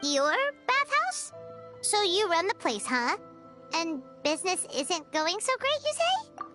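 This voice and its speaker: A bubbly little floating sprite girl in a game, her voice very high and piercingly bright. She swings wildly up and down in pitch and pries into everything. Faint game music plays beneath her. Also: very animated, heavily acted. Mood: curious. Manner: bubbly, curious, quick to question. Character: tiny floating female companion sprite, chatty and nosy. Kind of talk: game voice acting.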